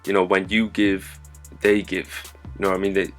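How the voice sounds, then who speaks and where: A man's voice: deep voice, flat delivery, moderate pace, faint music behind. A man hosting a podcast, agreeable and encouraging, often adding "man" at the end of his lines. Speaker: podcast host. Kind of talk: casual podcast conversation.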